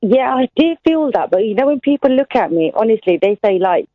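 A woman's voice: fairly high pitch, sing-song, brisk, no room sound. A woman in her late thirties rings in to a radio show, talking eagerly and heaping on praise. Her voice comes through a narrow, tinny telephone line. It is dry, with no room sound. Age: 30s. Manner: chatty and effusive. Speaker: caller. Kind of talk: casual phone-in chat.

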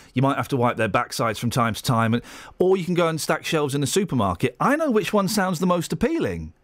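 A male radio presenter chatting bluntly into a studio mic, his pitch moving through a lively range. The sound is dry and close. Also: no room sound. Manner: blunt and chatty, with frequent restarts. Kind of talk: casual radio chat.

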